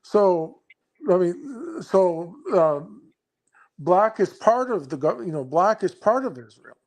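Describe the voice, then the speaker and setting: A man explaining scripture in conversation, earnest and halting, often restarting his sentences. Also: high-pitched, very animated, low-quality recording. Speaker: guest.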